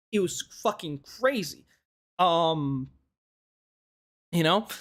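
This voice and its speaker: A man with a slightly hoarse, strained voice, rambling casually and thinking aloud as he hosts a gaming video. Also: high-pitched, fairly bright tone. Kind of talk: casual game commentary.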